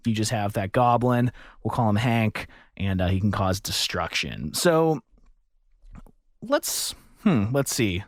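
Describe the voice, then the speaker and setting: A man in his thirties hosts a casual demo. He thinks out loud as he goes and keeps it playful and unscripted. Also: medium pitch, dry recording, clean studio sound. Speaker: host demonstrating an audio plugin.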